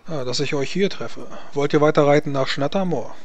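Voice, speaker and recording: A man's voice: a little high, a little fast, lo-fi sound, lightly acted. A man playing a blunt, sardonic male gate guard in a tabletop game. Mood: wry.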